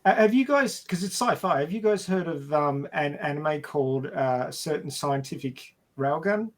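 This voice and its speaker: A man with a fairly high voice talks casually on a podcast. His delivery is hesitant and halting, as if finding each phrase as he goes. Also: expressive. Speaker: podcast panelist. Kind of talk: casual chat.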